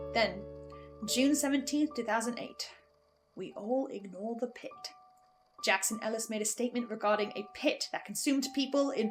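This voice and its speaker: A woman hosting a scripted lore analysis, speaking in a dramatic, halting, questioning way with theatrical asides. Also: a little high. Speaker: host. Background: faint music.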